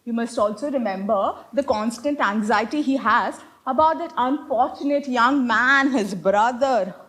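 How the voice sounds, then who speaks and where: A woman's voice: fairly high pitch, unhurried, a bit bright. A woman playing a strict, prim Victorian governess in a stage comedy, pompous and given to moralizing. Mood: disapproving, moralizing. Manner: stern, pompous, declamatory. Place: live stage play.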